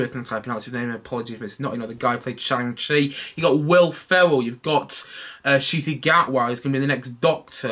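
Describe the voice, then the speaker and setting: Bright-voiced young man reviewing a film. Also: mid-range voice. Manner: animated, waves his hands while talking. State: stuffy nose from hay fever. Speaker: podcast host. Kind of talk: casual solo film review podcast. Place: small home room full of collectibles.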